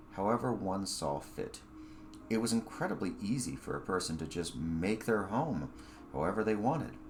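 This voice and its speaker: A man's voice: fairly low pitch, a little hiss or hum. A man reading his own short story aloud as scripted narration.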